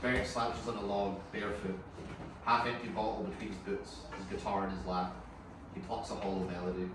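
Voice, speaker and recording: A man's voice: fairly low pitch, little pitch movement, a little fast. A man narrating scripted stage directions at a screenplay table read. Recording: distant, echoey room with clear noise.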